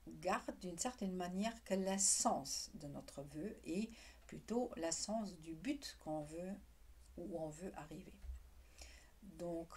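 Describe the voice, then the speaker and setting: Husky non-native woman teaching calmly. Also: lowish voice. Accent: non-native French. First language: not French. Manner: halting, restarts words often. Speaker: witchcraft teacher. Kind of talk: casual explanatory tutorial.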